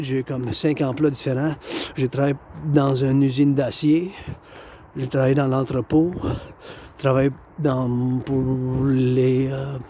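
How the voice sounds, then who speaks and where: A Québécois man talks at length outdoors in a soft, mellow voice that sits a little high for a man. He circles back to the same phrases again and again. Faint street noise hums behind him. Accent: Québécois French. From Quebec. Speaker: vlogger. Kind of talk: rambling monologue to camera.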